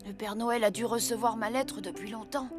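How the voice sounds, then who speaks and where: Actress as young boy hero of a cartoon, high voice, eager and sincere, music underneath. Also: moderate pace, natural voice. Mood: earnest. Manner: earnest, expressive. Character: earnest, eager young orphan boy, Santa's apprentice. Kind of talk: French children's cartoon dubbing.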